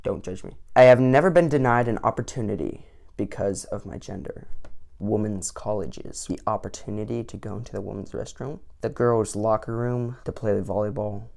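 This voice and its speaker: Vocal-fry teen guy, sarcastic. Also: lowish voice, normal speed, darkish tone. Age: late teens. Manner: sarcastic, mock-indignant outbursts. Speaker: vlogger. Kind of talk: sarcastic commentary on an online quiz.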